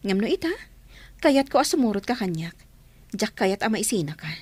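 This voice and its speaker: A woman's voice: mid-range voice, big pitch swings, a little fast, a little mellow, breathy edge, no room sound. A woman with an Ilocano accent playing an earnest young bride and devoted sister in a radio drama. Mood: tender, pleading.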